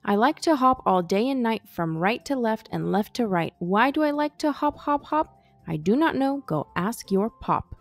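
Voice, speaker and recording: A woman's voice: on the high side, expressive, normal speed, faint music behind. A woman voicing a tiny, eager hopping creature from a storybook in a bouncy, rhythmic singsong.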